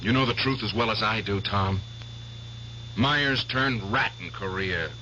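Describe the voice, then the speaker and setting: A man's voice: on the low side, unhurried. An actor playing an obsessed, vengeful male Korean War veteran in a 1970s radio drama, intense and insistent. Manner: intense, insistent, swings loud and soft. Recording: dull old radio broadcast with hiss.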